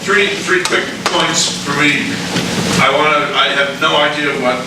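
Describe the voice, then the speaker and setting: A man's voice: fairly high pitch, a little slow. A man in the audience giving short, informal points of feedback. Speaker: audience member giving critique. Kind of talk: brief spoken feedback points. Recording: echoey room, far mic, loud noise.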